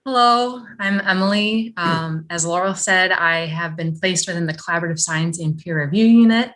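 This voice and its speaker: A young woman from Michigan introducing herself at a public meeting over a video call, talking in a halting way with many fillers. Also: fairly low pitch, a bit bright. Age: young adult. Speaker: new science fellow.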